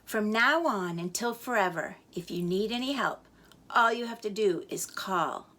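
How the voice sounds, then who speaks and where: A woman's voice: mid-range voice, expressive, measured pace, pushed and bright. A woman voicing begging nature spirits, a river, fire and wind, in a storybook tale. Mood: pleading.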